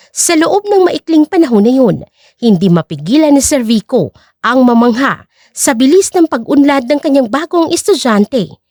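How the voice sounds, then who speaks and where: A woman's voice: sits high, a little mellow, no room sound, clean studio sound. A woman giving dramatic scripted narration as the narrator of an audio drama.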